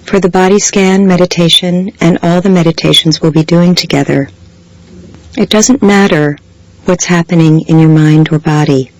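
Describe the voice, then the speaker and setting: Low-voiced woman guiding a meditation. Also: fairly even intonation, poor audio. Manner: slow, measured, with long pauses. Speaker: meditation guide. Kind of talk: guided body scan meditation, scripted soothing instruction.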